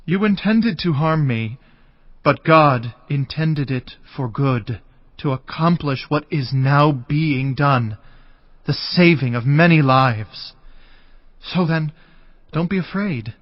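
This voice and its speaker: Scripture drama: grave, earnest male statesman of ancient Egypt, fairly high voice, drawn-out measured delivery. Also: lively intonation, no room sound. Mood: gentle, reassuring. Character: Joseph, grieving, forgiving Hebrew statesman of ancient Egypt. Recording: slightly dull audio with music behind. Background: music.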